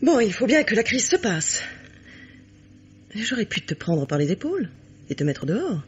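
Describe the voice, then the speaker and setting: A woman's voice: mid-range voice, sing-song, moderate pace, lightly acted. Woman playing a cool, condescending mistress, swooping intonation. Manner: patronizing, controlled, insinuating. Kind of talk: 1950s French radio mystery acting. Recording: dull, noisy vintage radio recording.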